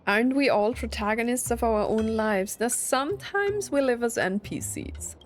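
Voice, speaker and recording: A woman's voice: a little high, expressive, moderate pace, over music. A young woman giving casual, informal Let's Play commentary on a story game, reacting as she goes. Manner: reads game dialogue aloud and reacts informally. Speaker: gaming video host playing a story game.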